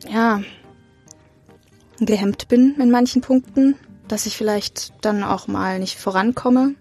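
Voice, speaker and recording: A young woman, an acting student, chatting casually as the guest in an interview. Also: a little high. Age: young adult.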